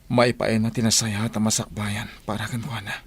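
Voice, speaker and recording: Radio drama: bitter rejected suitor, Ilocano accent, husky, lowish, brooding voice. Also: male voice, little pitch movement, normal speed. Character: bitter lovesick man who lost his sweetheart.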